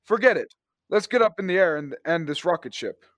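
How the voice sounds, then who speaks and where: A man's voice: high-pitched, lively intonation, fairly quick, a bit bright, no room sound, clean studio sound. A man acting an eager, impatient space adventurer in an old-time radio drama. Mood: impatient, dismissive. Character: eager, impatient male space adventurer hero, brushing off fuss. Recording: clearly noisy background ambience.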